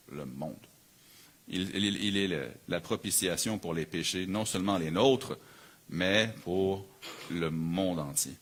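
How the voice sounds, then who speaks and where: A man's voice: sits low, a bit bright, breathy edge. A man with a Quebec French accent preaching a sermon as a pastor, earnest and emphatic, building his points through repeated phrases. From Canada.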